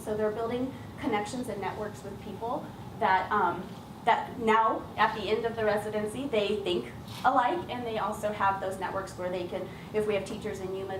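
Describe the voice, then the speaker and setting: A woman teacher giving an informal, explanatory public presentation about her work, speaking as a lead trainer. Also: on the high side. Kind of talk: public presentation, semi-prepared talk. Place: echoey room at an event. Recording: roomy, distant mic, clearly noisy background.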